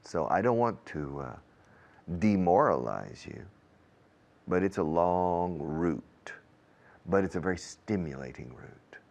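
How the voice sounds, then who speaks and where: A man, a native English speaker, teaching in an explanatory monologue with a deliberate, emphatic manner that repeats key words. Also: deep voice, expressive, gravelly, darkish tone. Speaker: language teacher and programme host.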